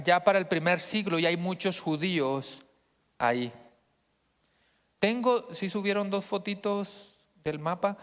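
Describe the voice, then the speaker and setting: High-voiced Mexican pastor in a reverberant hall. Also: male voice, a bit bright, smooth. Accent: northern Mexican Spanish. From Monterrey, northern Mexico. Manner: conversational, frequent rhetorical check-in questions. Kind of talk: teaching sermon to a congregation.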